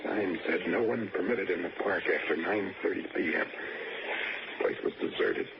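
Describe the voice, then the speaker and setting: An actor playing a restless, secretly murderous drifter who tells his own story haltingly in a 1960s radio suspense drama. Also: deep voice, vocal fry, lo-fi sound. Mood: brooding narration. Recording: noisy, band-limited 1960s radio recording.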